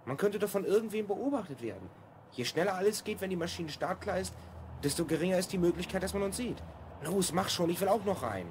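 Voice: mid-range voice, lively intonation, fast talker, a little mellow, a little hiss or hum, close to an everyday voice. An actor voicing an earnest, slightly bossy twelve-year-old boy time traveller in a German children's audio drama. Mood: instructing. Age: child figure, twelve.

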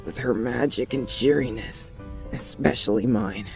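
A man's voice: medium pitch, unhurried, gravelly, music in the background. A young man playing an earnest, devoted teenage boy in a cartoon comic dub. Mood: tender, reassuring. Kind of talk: fan comic dub voice acting.

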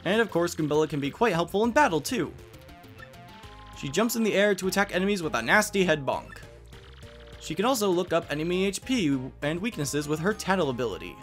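A man's voice: on the high side, sing-song, a little fast, fairly bright tone. A man hosting a video game playthrough, commenting and reading game text aloud. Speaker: let's play host. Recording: game music behind the voice.

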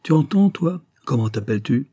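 A man's voice: medium pitch, expressive, normal speed, mellow, slightly rough, no room sound, voice pushed up. A man voicing a curt, self-important police secretary in an audiobook story, dry and commanding. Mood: decisive.

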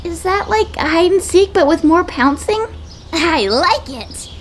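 A woman voicing an eager, playful young female lion cub in a small, childlike, excitable and innocent voice for a comic dub. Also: very high-pitched, slow pace, slight background noise, heavily acted. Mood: chatty. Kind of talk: comic dub character acting.

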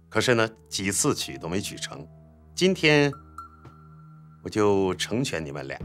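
An actor in a TV drama playing a bossy, scheming military commander who bargains and threatens. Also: male voice, low-pitched, fairly bright tone, full character voice. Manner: commanding, haggling, bullying. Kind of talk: Chinese TV drama acting.

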